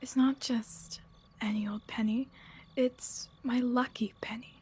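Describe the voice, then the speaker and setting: A woman playing a timid but insistent stranger begging in a radio play, with halting, stammering speech. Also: fairly high pitch, fairly even intonation, a little mellow, slightly rough. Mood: grateful, insistent. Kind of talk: radio theatre acting.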